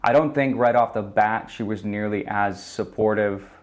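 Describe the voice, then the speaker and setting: Man, 20s, from Ukraine, low voice, thoughtful interview guest. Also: moderate pace. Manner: earnest, thoughtful, with frequent ums. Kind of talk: reflective interview answers. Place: indoor studio.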